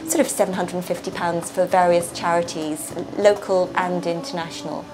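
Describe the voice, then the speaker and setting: A woman's voice: fairly low pitch, steady tone, moderate pace, background noise. A woman, co-founder of a brewery, talking enthusiastically about the business in a chatty voice-over interview. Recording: music playing under voice.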